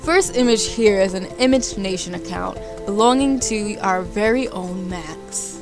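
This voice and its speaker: A woman's voice: mid-range voice, a little slow. Chatty woman streamer with music behind. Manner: chatty, informal, playful. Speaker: game streamer. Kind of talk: casual livestream chat while reading a visual novel aloud. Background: background music.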